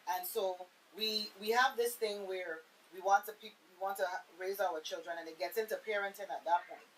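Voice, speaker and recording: A woman talks as a radio panelist in a mid-pitched voice. She explains things earnestly and with emphasis, checking in with rhetorical questions. There is a faint hiss on the recording. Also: steady tone, moderate pace, rough recording. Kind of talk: conversational explanation on air.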